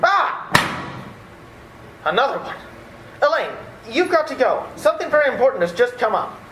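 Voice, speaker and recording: A woman's voice: sits high, very animated, normal speed. A woman playing an exasperated, sardonic young man in an old-time radio play. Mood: flustered, frantic. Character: exasperated, sardonic young male theatre critic. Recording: echoey room, faint noise.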